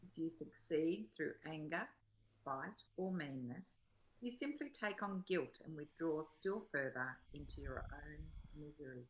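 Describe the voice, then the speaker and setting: A woman taking part in a spiritual book study as a reading partner, speaking in a measured, careful way. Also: low-pitched, lively intonation, rough recording. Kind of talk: reading aloud from a spiritual text.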